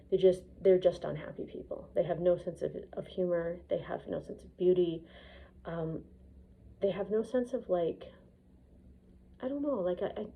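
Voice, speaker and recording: A woman's voice: mid-range voice, warm tone. A Canadian woman vlogger talking in a casual, rambling personal monologue, blunt and opinionated, recorded on a phone. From Edmonton, Canada. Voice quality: husky, creaky voice. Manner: blunt, opinionated, hesitant phrasing with frequent swearing. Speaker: vlogger, piano student. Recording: dull phone-recorded lo-fi sound.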